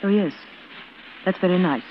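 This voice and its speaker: A woman's voice: lowish voice, normal speed, close to an everyday voice. An actress playing a polite, reserved woman customer in a 1940s radio drama, speaking with hesitant restraint. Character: reserved, polite woman customer choosing her own casket. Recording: noisy, tinny old radio recording.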